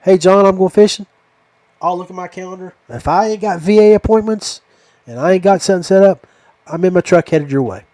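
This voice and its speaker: A middle-aged or older man with a slightly higher-pitched voice and a relaxed, mellow tone. He hosts a casual podcast chat in a folksy, affectionate, rambling manner. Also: fairly quick, no echo. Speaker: podcast host. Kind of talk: casual chat closing a fishing podcast interview.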